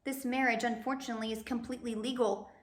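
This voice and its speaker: A woman's voice: fairly high pitch, fairly even intonation, fast talker, echoey room, natural voice. A woman voicing a distressed, earnest female judge in a storybook, pleading and regretful. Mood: resigned.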